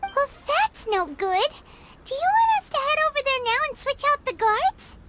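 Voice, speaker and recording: A woman voicing a small, childlike, earnest fairy companion in a video game, with a squeaky, chirpy voice. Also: big pitch swings, moderate pace, very noisy. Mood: worried. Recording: loud music under the voice. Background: game background music.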